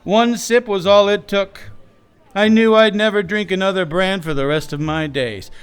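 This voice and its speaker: A man's voice: sits high, fairly even intonation, moderate pace, clean tone, close to an everyday voice. A man playing a melodramatic, tongue-in-cheek noir hero in a parody radio commercial. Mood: mock-dramatic. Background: music behind the voice.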